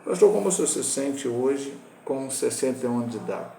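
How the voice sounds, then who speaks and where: A Brazilian man in his sixties, a pastor teaching a Bible lesson, talking in a halting way with restarts and self-corrections. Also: mid-range voice, very animated, gravelly, mellow, echoey room, slight background noise. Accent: Brazilian Portuguese. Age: 60s.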